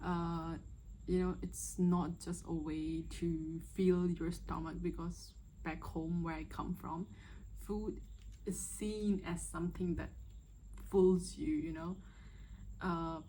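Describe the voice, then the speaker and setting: Young woman in her 20s from Myanmar, low-ish mellow voice, chatty and hesitant vlog Q&A, faint room hiss. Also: rough recording. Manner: chatty, hesitant, with many fillers. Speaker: vlogger. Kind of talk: casual first-video Q&A chat.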